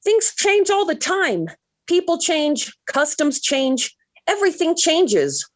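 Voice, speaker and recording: An actress playing a dramatic, lovestruck seventeen-year-old daughter, gushing and pleading in 1940s radio sitcom style. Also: female voice, very high-pitched, sing-song, slow pace, exaggerated voice. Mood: hurt, dramatic.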